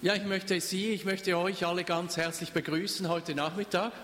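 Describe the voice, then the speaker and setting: An older man from Switzerland, preaching in short phrases and pausing for an interpreter. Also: high voice, fairly even intonation, a bit bright, big-room sound. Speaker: pastor. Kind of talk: sermon with consecutive interpreter.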